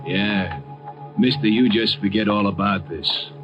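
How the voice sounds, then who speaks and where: A man's voice: lowish voice, a little slow, close to an everyday voice. An actor playing a cold, threatening gangster henchman in a 1950s radio drama, curt and commanding. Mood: menacing, curt. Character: menacing gangster henchman, cold tough adult man. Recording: muffled old radio recording, loud music.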